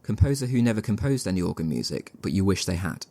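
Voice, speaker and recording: Brisk low-voiced male podcast host. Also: very animated, warm tone. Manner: brisk quickfire questions, short agreeing replies. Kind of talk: casual podcast chat.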